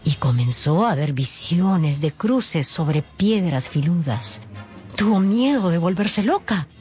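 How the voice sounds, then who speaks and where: Low-voiced lady narrating a radio novel, really animated and wry, music under her. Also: takes it slowly. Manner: expressive, wry, gossipy asides. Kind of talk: dramatised storytelling narration. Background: background music.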